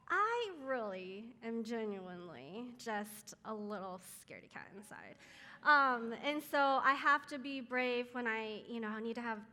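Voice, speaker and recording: Bright-voiced woman speaking in a reverberant room. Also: fairly high pitch. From Taiwan or China, raised in Seattle area. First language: Chinese. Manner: hesitant, many ums, soft-spoken and earnest. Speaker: guest speaker at a church moms group. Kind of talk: casual talk to a group. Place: church meeting room.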